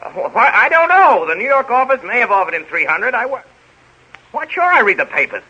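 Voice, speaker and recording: An actor playing a blustery, scatterbrained Hollywood studio boss in a 1930s radio comedy, bossy, stammering and excitable. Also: male voice, extremely high pitch, a little fast, breathy edge, exaggerated voice. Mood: agitated, haggling. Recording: dull vintage 1930s radio recording.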